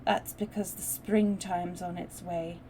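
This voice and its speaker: A woman's voice: fairly low pitch, flat delivery, measured pace, slight background noise, lightly acted, rough recording. A woman voicing a cheerful young Yorkshire housemaid in a storybook reading, with a put-on broad Yorkshire accent. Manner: broad dialect, chatty and bright.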